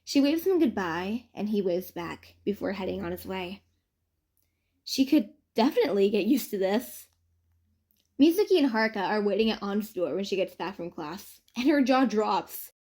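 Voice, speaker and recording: A woman reading fan fiction aloud as a narrator, in an expressive audiobook style. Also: mid-range voice, moderate pace.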